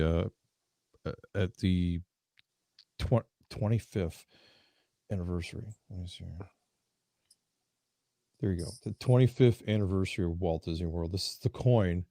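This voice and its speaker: A man nearing fifty, a guest on a casual live stream, talking haltingly with many fillers. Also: low-pitched, a little mellow, no room sound, studio quality. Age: 40s.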